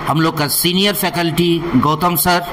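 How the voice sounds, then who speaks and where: A man's voice: on the high side, steady tone, unhurried. A man, hosting an interview and presenting to viewers. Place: small classroom, indoors. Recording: noisy room ambience.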